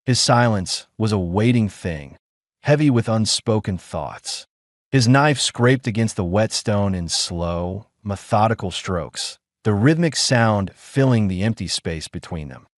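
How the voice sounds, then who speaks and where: A man narrating a science fiction audiobook in an expressive storytelling manner. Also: lowish voice, a little slow, dry recording, clean studio sound.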